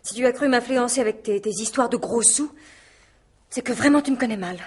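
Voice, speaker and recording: An actress playing a headstrong, proud young niece in love, earnest and spirited, in a radio mystery play. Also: female voice, on the high side, a little fast, darkish tone, husky. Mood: defiant. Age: young adult.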